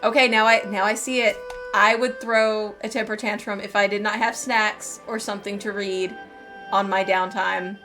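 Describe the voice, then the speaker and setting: A woman's voice: medium pitch, level tone, moderate pace, bright, forceful tone. A woman streaming a game, giving casual, playful, chatty commentary as she reacts to the story, with game music behind her.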